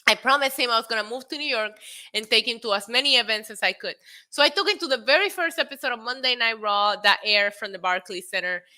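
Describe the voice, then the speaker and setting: A woman in her late thirties hosting a casual wrestling live stream, excitable and a little tipsy, laughing, swearing and dropping in Spanish phrases as she answers chat. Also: a little high, quick pace. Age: 30s. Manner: frequent laughter and profanity. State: tipsy from drinks. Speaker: wrestling show host.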